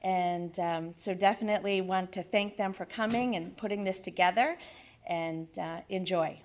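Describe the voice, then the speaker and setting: A woman's voice: on the low side, moderate pace. A woman organizer welcoming an audience at a community event, speaking hesitantly with many fillers. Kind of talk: welcome speech. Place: community center hall.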